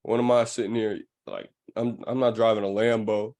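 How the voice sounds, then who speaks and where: Casting: male podcast host, slightly deep voice, casual and reflective, loose slangy phrasing. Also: flat delivery, moderate pace, darkish tone. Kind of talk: casual chat.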